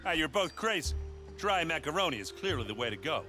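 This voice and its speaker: Goofy, animated, boastful sidekick. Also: male voice, fairly high pitch, a little fast, over music. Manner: folksy, cheerfully overconfident. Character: jovial, boastful, goofy male food-loving sidekick. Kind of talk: animated video game cutscene acting.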